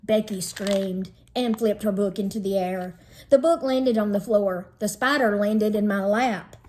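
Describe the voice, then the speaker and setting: A woman narrating a children's storybook in an expressive, dramatizing read-aloud style. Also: medium pitch, a little slow.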